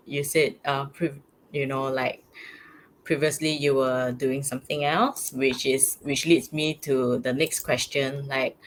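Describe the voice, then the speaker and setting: A woman's voice: very deep voice, a bit bright, slight background noise, poor audio. Upbeat woman host of a live show. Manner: upbeat, gushing reactions. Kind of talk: live stream interview.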